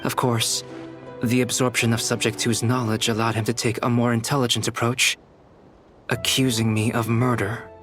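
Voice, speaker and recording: A man's voice: medium pitch, little pitch movement, normal speed, fairly bright tone, faint music behind, lightly acted. A man voicing a composed, analytical young scholar in a video game, speaking formally and with detachment. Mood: detached analysis.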